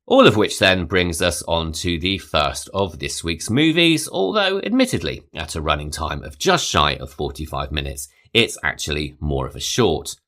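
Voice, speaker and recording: A man hosting a podcast, reading a scripted, measured narration. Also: deep voice, sing-song, pushed and bright. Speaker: podcast host.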